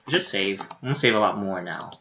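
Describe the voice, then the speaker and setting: A man's voice: on the low side, fast, lo-fi sound, very noisy. A young man streaming, casually reading a visual novel aloud with offhand asides.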